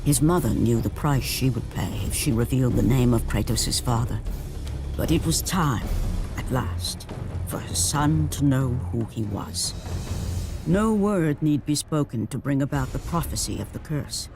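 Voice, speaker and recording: A grave teller of ancient myths, narrating a game cutscene very slowly and solemnly. The delivery is portentous, with weighty pauses, over clearly audible music. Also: male voice, mid-range voice, lively intonation. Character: grave storyteller of ancient myth. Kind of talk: dramatic scripted narration for a video game. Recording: music behind the voice.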